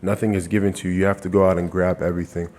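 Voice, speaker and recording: Young athlete from Canada, deep and even delivery, earnest, restarts lines as he thinks. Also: rapid-fire. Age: 20s. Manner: earnest, repeats and restarts phrases. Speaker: college football player. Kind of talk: press conference answers. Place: media day press room.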